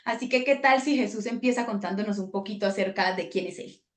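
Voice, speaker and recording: A woman's voice: mid-range voice, a little fast, echoey room. A woman hosting an online book presentation, chatting effusively with the authors. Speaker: host.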